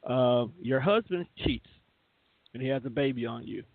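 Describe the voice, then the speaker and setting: A man's voice: mid-range voice, moderate pace, dry recording. A man with an American accent, chatting casually and slangily as a teasing radio show host. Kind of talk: casual chat. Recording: narrow phone-like line, dry.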